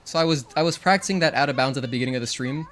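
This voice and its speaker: A man's voice: medium pitch, very fast, a little hiss or hum. A young man whose first language is Spanish, chatting casually to viewers while he plays a game. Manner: chatty, thinks out loud, counts combo hits. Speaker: streamer. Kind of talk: casual gameplay commentary.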